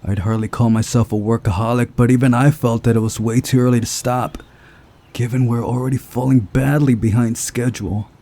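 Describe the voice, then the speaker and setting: A man's voice: medium pitch, steady tone, fairly quick, dark tone. A man narrating a visual novel aloud as he plays through it. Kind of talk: reading a story aloud, narration.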